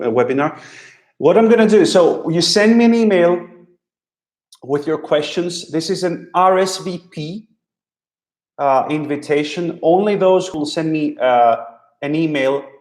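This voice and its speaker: Live-stream host, a man with a Russian accent, slow halting delivery, echoey room. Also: mid-range voice, darkish tone. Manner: slow, halting, with many repeated words. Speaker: language teacher hosting a live stream. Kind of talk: live stream talk answering viewers.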